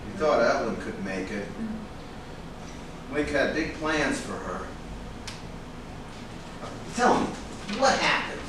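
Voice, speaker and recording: A man playing a pushy, fretting talent agent in a staged reading, with halting, deliberate delivery. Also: mid-range voice, very animated. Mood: accusing, worried. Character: pushy, fretting male talent agent, accusing then flattering. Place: room at a live staged reading. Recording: echoey room, distant mic, noisy.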